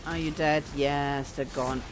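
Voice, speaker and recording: Italian-accented woman host, native Italian speaker, chatty and self-deprecating, slow and fairly low, faint hiss behind. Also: steady tone. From Italy. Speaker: let's-play host. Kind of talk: casual gameplay commentary.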